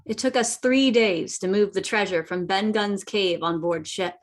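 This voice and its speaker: Woman acting earnest radio-play narrator, medium pitch. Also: normal speed, natural voice. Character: earnest storyteller recalling a sea adventure.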